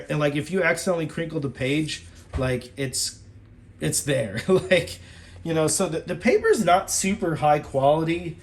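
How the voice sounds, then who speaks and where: A man's voice: on the high side, a little hiss or hum. A man casually reviewing a study Bible to camera, rambling with fillers and restarts.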